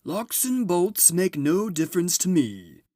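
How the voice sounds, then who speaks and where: A man voicing a big, solemn, kindly old gift-giver in a storybook, with slow, booming, grand proclamations. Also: sing-song, dark tone, no room sound, clean studio sound. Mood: grand, glad.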